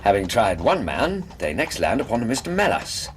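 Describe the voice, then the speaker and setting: An actor playing an earnest English gentleman companion in a radio drama, reasoning aloud in a deliberate, formal manner. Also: lowish voice, sing-song. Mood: earnest, theorising. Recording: noisy street ambience with horse hooves.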